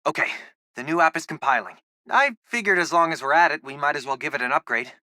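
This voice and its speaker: A man with an American accent voicing a geeky, excitable teenage boy, a loyal superhero's tech sidekick in a video game, talking informally and eagerly. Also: a little high, fast talker, no echo, clean studio sound. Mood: focused, curious. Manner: informal, eager, nerdy tech talk with quips. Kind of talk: video game voice acting, casual radio-style chatter.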